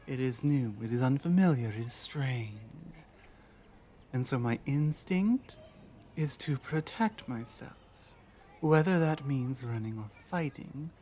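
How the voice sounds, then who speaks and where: Casting: actress as lost orc warrior woman for ASMR roleplay; earnest, wary, unhurried, pausing often. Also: deep voice, a little mellow, heavily acted. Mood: wary, overwhelmed. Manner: halting, earnest, deliberate phrasing with pauses. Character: lost, guarded young female orc warrior, earnest and lonely. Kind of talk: ASMR roleplay audio drama.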